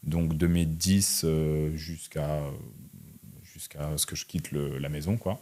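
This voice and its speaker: A young man in his twenties with a very low voice, talking as an interview guest. He is from Russia and explains himself informally, with slang and conversational tags. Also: fairly even intonation, slow, dark tone. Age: 20s. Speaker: interview guest, entrepreneur and online content creator. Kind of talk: casual conversational interview answers.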